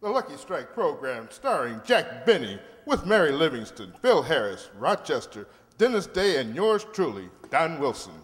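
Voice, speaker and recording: A man's voice: a little high, sing-song, moderate pace. A man playing a grand, hearty announcer on a 1940s radio comedy show, formal and showy. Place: stage in a hall. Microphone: microphone on a stand. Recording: echoing hall, noisy, with clicks.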